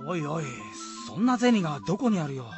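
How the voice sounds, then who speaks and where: A timid, easily swayed rustic husband in a radio folktale. He sounds fretful and folksy, his pitch swooping, with faint music behind. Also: sing-song, a little fast. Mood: doubtful. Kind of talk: radio drama acting.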